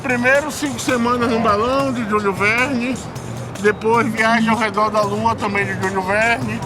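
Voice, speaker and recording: A man's voice: extremely high pitch, fairly even intonation, moderate pace, over music, crowd noise. A man in his fifties from Salvador, Brazil, telling his life story plainly in a documentary interview, with casual swearing. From Salvador, Bahia, Brazil. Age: 50s. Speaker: music producer.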